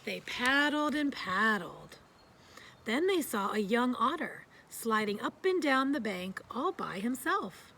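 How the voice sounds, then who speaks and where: A woman reading a children's picture book aloud in a gentle, expressive storytelling style. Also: a little high, slow pace.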